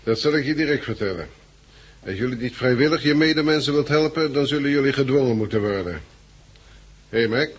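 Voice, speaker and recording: An actor in a 1960s radio drama playing a terse, authoritative leader of a rough gang. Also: male voice, mid-range voice, fairly quick, close to an everyday voice. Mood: stern, threatening. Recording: noisy old 1960s radio recording.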